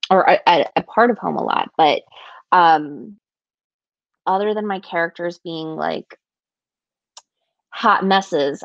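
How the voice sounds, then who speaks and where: A woman's voice: low voice, gravelly, no echo. Breezy woman author, dull call audio. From Ecuador. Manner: breezy, restarts a lot, laughs. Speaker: author panelist. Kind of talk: casual panel talk. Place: home room on a video call. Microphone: video call webcam mic.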